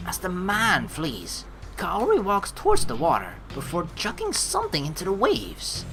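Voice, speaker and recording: A woman narrating a game playthrough, with animated, jokey asides and some swearing. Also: mid-range voice, normal speed. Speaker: let's play streamer. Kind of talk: playthrough narration with casual reactions. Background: background game music.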